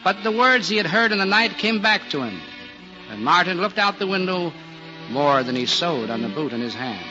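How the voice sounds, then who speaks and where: A gentle storyteller, with a voice a little higher than most men's. He narrates in the measured, literary style of 1940s radio drama. Music plays behind him on a muffled old recording. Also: very animated. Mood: gentle narration.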